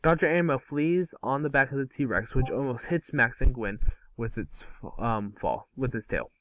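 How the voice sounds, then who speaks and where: A man's voice: medium pitch, normal speed, fairly bright tone, no echo. A man reading out stage directions in scripted narration for a table read. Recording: distant microphone.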